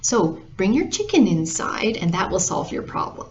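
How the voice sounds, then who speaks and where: A woman voicing a calm, assured wise woman who gives advice in a children's story. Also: medium pitch, normal speed, close to an everyday voice. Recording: dull, lo-fi audio with clear noise.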